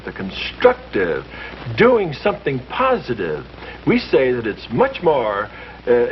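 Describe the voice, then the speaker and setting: A priest speaking earnestly to a small group, with a lively, preacherly way of stressing his points. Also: mid-range voice. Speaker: priest leading a discussion. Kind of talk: earnest scripted speech in a 1951 short film. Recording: muffled old film soundtrack with hiss.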